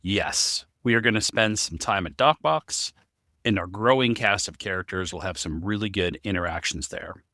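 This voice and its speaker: Guy talking casually on a podcast, lowish but crisp bright voice, no room echo. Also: moderate pace, clean studio sound. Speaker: podcast co-host, story writer and amateur voice actor.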